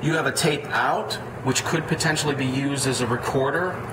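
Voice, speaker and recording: A man's voice: mid-range voice, normal speed. A man giving an unscripted, plain-spoken, step-by-step tutorial as an audio equipment installer. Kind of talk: unscripted product tutorial, walkthrough. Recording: echoey room with clear background noise.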